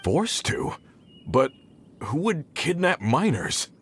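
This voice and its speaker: An actor playing a brisk, responsible search party leader in a video game, decisive and businesslike. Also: male voice, on the high side, very animated, a little hiss or hum. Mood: concerned. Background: game music.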